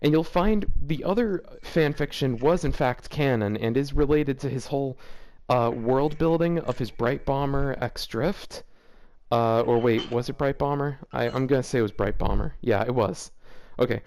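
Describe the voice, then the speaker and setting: A man with an ordinary mid-pitched voice reads a fan story aloud in a loose, casual way. He keeps stopping to laugh and mock the writing. The tone stays chatty and amused. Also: normal speed, a little mellow. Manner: amused, laughing, mocking asides. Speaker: host reading fanfiction aloud. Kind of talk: casual reading with joking commentary.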